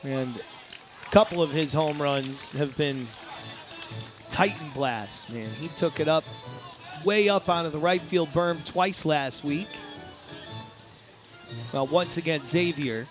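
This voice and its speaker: A man calling live baseball play-by-play, descriptive between plays and excitable on big ones. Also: a little high, slow pace, long pauses. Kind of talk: live sports play-by-play commentary. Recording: crowd and music behind commentary. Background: ballpark crowd, music.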